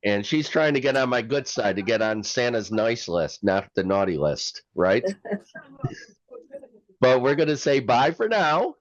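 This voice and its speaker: A man's voice: mid-range voice, sing-song, a little slow, no room sound, muffled recording. A man hosting a reaction show in casual chat, explaining things like a storyteller.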